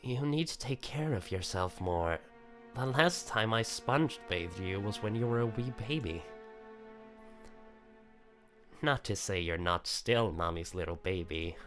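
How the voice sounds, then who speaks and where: A man plays a middle-aged mother in a visual novel, her voice lifted well above a man's low register. She is cloying and bossy, cooing and chiding over music. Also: lively intonation, moderate pace, a bit bright. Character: doting, scolding middle-aged mother in denial.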